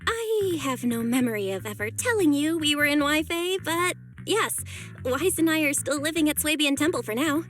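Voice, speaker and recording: High-voiced, wry young woman. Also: expressive, moderate pace, fairly bright tone. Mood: wry, chiding. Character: wry, sweet young woman, video store manager. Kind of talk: anime game voice acting. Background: faint game music.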